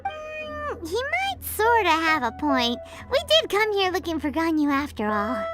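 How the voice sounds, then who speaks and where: A woman's voice: extremely high pitch, big pitch swings, unhurried, over music, exaggerated voice. A woman voicing a tiny, cheeky female fairy companion who talks in a bubbly, blunt, eager way in a video game.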